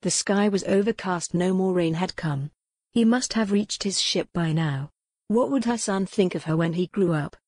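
A woman's voice: lowish voice, normal speed, darkish tone, no room sound, studio quality. A woman narrating a historical romance audiobook in a scripted, dramatic storytelling style.

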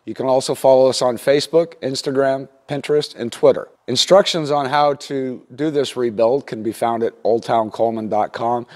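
A man in his fifties giving a casual, plainspoken, step-by-step repair tutorial at an unhurried, instructional gait. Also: mid-range voice, darkish tone. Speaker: instructor demonstrating lantern repair. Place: indoor wooden workshop at a workbench.

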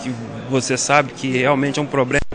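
A man in his forties with a Brazilian Portuguese accent, a councilman giving a quick, insistent interview answer in a council chamber into a handheld mic. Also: mid-range voice, flat delivery. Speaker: city councilman. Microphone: handheld reporter mic.

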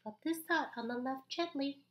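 A young adult woman reading a picture book aloud to small children in an animated, exaggerated, encouraging way, with pauses for them to join in. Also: sits high. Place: small indoor room.

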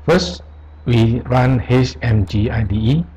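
A man presenting an unscripted software tutorial, explaining step by step in short, halting phrases. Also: medium pitch, highs cut off, slight background noise. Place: voice-over on a screen recording.

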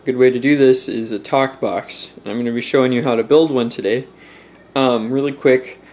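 A young man with a medium-pitched voice walks through a homemade project in an informal way. He thinks aloud as he goes, a little hesitant, like an amateur tutorial presenter. He is recorded indoors at home, close to a handheld camera. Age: young adult. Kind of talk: casual how-to explanation. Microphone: handheld camera at close range.

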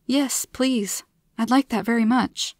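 A woman's voice: a little high, slow, darkish tone, no echo, clean studio sound, voice pushed up. Earnest, candid woman, voiced by a narrator. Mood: grateful, wondering. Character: friendly, candid, chronically ill woman, easily tired. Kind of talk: storybook character voice.

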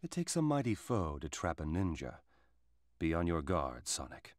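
Casting: grave, formal ninja warrior creature for a game, low voice, music behind. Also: male voice, big pitch swings, normal speed, no echo, clean studio sound. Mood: solemn. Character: solemn, proud ninja chameleon warrior. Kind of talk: video game cutscene acting.

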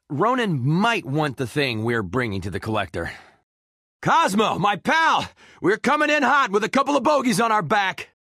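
A man's voice: on the high side, a bit bright, no echo, clean studio sound, heavily acted. A man voicing a cocky, wisecracking, boyish space adventurer in a video game, with a brash, sarcastic, theatrical delivery. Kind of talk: video game character voice lines.

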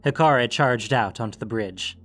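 A man's voice: medium pitch, normal speed, fairly bright tone, husky. A man narrating a scripted fantasy story as the narrator of an audio production. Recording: music under the voice.

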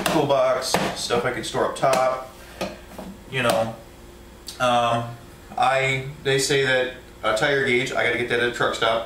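A man's voice: mid-range voice, far from the mic, background noise. A man, a truck driver, casually showing his tools with lots of ums and restarts, recorded at home by a camera set up overhead. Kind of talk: casual show-and-tell of tools. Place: indoor room at home. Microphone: camera set up overhead, a few feet from the speaker. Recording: echoey room, voice a bit off mic.

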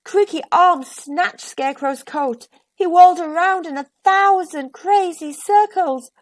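A woman reading a children's picture book aloud with expressive narration as a storytime host. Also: extremely high pitch, unhurried.

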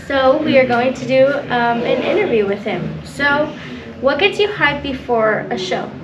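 Slow-paced, high-voiced woman vlogger. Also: dull audio, very noisy. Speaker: vlogger and interviewer. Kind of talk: casual vlog chat and interview questions.

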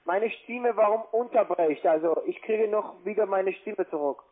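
High-voiced foreign-accented man, halting, phone line. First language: not German. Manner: halting, broken phrasing. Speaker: cold-calling call-centre agent. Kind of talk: pushy phone instructions.

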